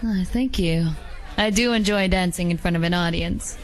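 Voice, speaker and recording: A woman voicing a polite, gentle female werewolf dancer who is secretly an assassin, in a hobby audio drama. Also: fairly low pitch, moderate pace, close to an everyday voice, poor audio. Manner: polite, gentle, softly coaxing.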